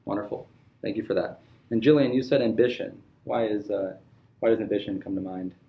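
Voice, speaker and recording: A man's voice: fairly low pitch, brisk, muffled recording. A young man hosting a casual podcast, introducing guests and asking questions with many fillers and restarts. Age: young adult. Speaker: podcast host.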